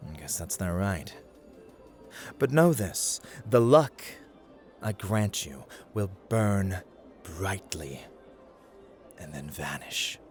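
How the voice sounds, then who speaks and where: Deep, theatrical, playful god of luck, acted by a man. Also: heavily acted. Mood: haughty, indulgent. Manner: theatrical, teasing, formal, condescending, drifting into whispers. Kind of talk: ASMR roleplay, scripted dramatic monologue.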